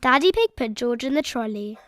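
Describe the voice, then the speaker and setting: A woman narrating a children's read-aloud story in an expressive, measured storytelling manner. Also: fairly high pitch, noisy background.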